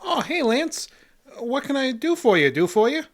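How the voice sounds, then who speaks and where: A man's voice: extremely high pitch, big pitch swings, moderate pace, a bit bright, full character voice. An actor playing a folksy, punch-drunk custodian in a comic radio play, jittery and echoing the ends of his own phrases. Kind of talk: old-time radio comedy acting.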